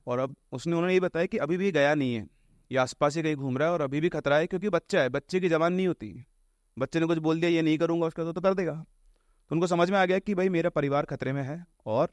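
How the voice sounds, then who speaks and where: A man's voice: mid-range voice, no room sound, clean studio sound. A man telling ghost tales casually in an explanatory way, mixing in English words. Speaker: storyteller on a horror podcast.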